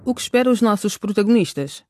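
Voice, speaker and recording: A narrator giving a clear, measured recap of a radio drama. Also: medium pitch, expressive, a little mellow, a little hiss or hum. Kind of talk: scripted narration.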